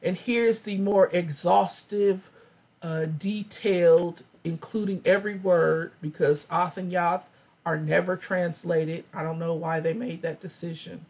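Woman, hoarse, raspy, slightly low voice, unhurried, scripture teacher, thinking aloud. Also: fairly even intonation, darkish tone. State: hoarse, recovering from laryngitis. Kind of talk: scripture study lesson over slides.

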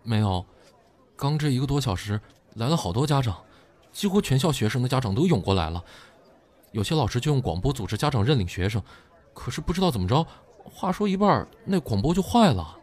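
A man's voice: mid-range voice, sing-song, brisk, a little mellow. An actor playing a worried, chatty male student in an audio drama. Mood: breathless reporting.

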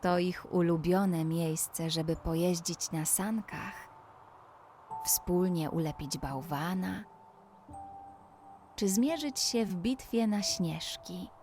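A woman narrating a scripted children's bedtime story, speaking deliberately and addressing the young listener directly. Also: on the low side, darkish tone. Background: music.

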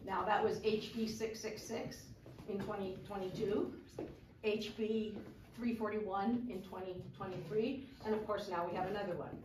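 Older woman speaker, bright lively delivery, echoey room from afar. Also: medium pitch, normal speed, noisy background. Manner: lively, animated storytelling reading. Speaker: retired librarian speaker. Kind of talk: public talk reading aloud. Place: large community hall, indoors. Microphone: far camera. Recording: roomy hall recorded from far off.

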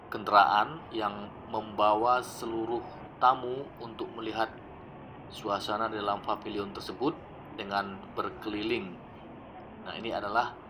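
A man narrating his old travel footage in a relaxed, explanatory voice-over, often pausing with fillers. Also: fairly low pitch, noisy background. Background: background music.

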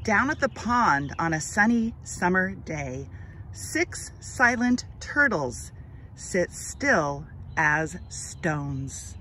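A woman hosting an outdoor read-aloud for children, speaking to them with deliberate, expressive enunciation. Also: lowish voice. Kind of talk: children's story read-aloud and friendly outdoor talk to kids. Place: outdoors on a grassy trail. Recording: outdoor ambient noise.

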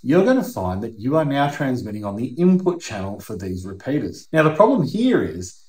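A man's voice: medium pitch, sing-song, moderate pace, darkish tone. Male video host, middle-aged, Australian. Conversational, plain-spoken instruction, recorded in an echoing workshop. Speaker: YouTube host. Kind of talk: explainer to camera, conversational. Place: indoor workshop or garage. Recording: roomy, echoing indoor sound.

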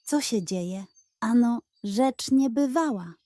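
Unhurried woman storyteller, soft mellow tone. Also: fairly high pitch, expressive, dry recording, clean studio sound. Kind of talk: scripted children's bedtime story narration.

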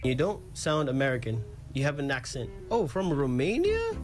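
A man's voice: medium pitch, huge pitch swings, normal speed. A young man in a small bedroom on a webcam, bantering playfully and teasing strangers in a video chat.

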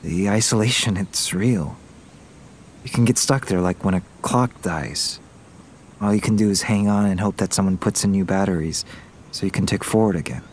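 A man's voice: low voice, normal speed, slight background noise, lightly acted. An actor playing a vulnerable young man back from military service in an audio drama, hesitant and earnest. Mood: subdued, searching. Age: young adult. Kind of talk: naturalistic audio drama acting.

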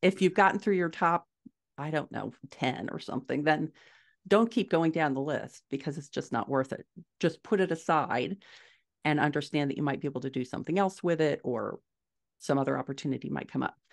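A middle-aged woman answering interview questions conversationally, telling stories in an animated way on a video call from a room at home. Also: low-pitched, a little fast, vocal fry. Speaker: podcast guest, indie author. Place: small furnished room at home, indoors.

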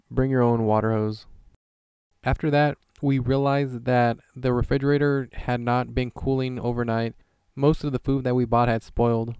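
A man's voice: medium pitch, a little mellow, clean tone, no room sound. A young adult man giving scripted voice-over narration as a travel vlogger.

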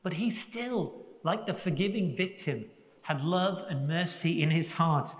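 An older man, a priest giving a Sunday homily in a large church, preaching slowly and reflectively with emphatic pauses. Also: on the high side, muffled recording, a little hiss or hum. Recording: echoey church with long reverb.

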